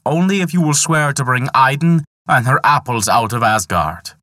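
A man voicing a giant disguised as a huge eagle, speaking slowly and commandingly as he bargains in a myth retold aloud. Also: mid-range voice, lively intonation, pushed and bright, no room sound, clean studio sound, voice pushed up.

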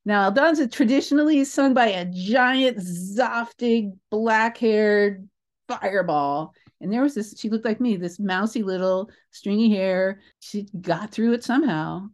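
An older woman speaking as an interview guest, telling anecdotes in an expressive, emphatic, rambling way with asides. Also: mid-range voice, unhurried. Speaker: guest, theatre creator. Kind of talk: animated anecdotal storytelling in an interview.

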